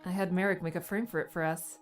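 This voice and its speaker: A playful woman adventurer voiced by an actress speaks in a slightly low female register. She is teasing yet tender with her partner, in audiobook dialogue with faint music beneath. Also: steady tone, quick pace, lightly acted. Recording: music under the voice.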